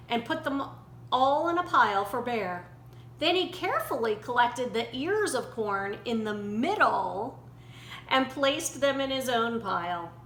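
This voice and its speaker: Lady reading a kids story, high lively voice, roomy echo with a little noise. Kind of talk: animated children's storybook read-aloud.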